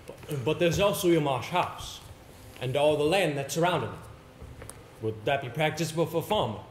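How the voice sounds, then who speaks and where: An actor on stage playing a polite, inquisitive, slightly flustered solicitor with a formal, hesitant manner. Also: male voice, fairly high pitch, expressive, normal speed, natural voice. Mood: polite, puzzled. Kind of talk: live stage play acting. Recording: echoey hall, stage play recording with hiss.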